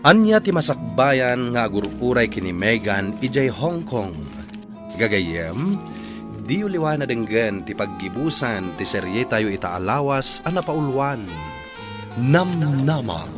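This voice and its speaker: A man's voice: mid-range voice, very animated, a little slow. A man with an Ilocano accent announcing a radio drama in scripted, dramatic narration. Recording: dramatic music under the voice.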